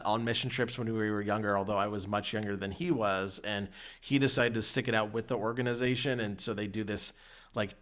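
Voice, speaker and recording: A man with a medium-pitched voice talks on a podcast. He reminisces casually and meanders, feeling his way through each thought. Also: a bit bright. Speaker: podcast panelist.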